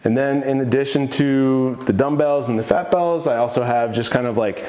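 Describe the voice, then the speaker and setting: A man's voice: medium pitch, moderate pace, warm tone. A man in his thirties, a fitness coach, casually showing off the equipment in his home gym and sharing his opinions on it with enthusiasm. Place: home gym in a basement room. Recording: echoey room.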